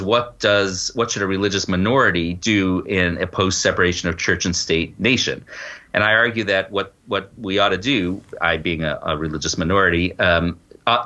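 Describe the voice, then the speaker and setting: A man with a very low voice that carries a bright, forceful edge. He speaks as an interview guest in a casual way, feeling his way through explanations and dropping in informal asides. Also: highs cut off. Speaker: podcast guest, law professor and author.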